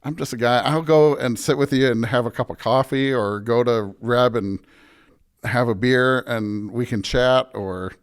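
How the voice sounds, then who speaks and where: A man's voice: mid-range voice, measured pace, smooth. A man speaking as a pastor and podcast guest in a casual, reflective interview, often restarting his sentences in an informal, self-deprecating way.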